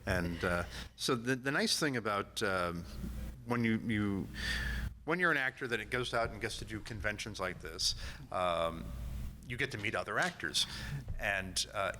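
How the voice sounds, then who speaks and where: A man giving an informal convention panel talk in a halting way, often repeating words. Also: lowish voice, animated, pushed and bright. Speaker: panel speaker, audio drama company founder and actor. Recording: live panel with noticeable room noise.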